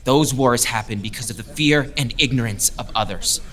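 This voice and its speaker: A man's voice: mid-range voice, moderate pace, fairly bright tone, lightly acted. A man playing an earnest young lieutenant. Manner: earnest, persuasive speech-making. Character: young male lieutenant, passionate advocate for his people. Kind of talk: audio drama acting.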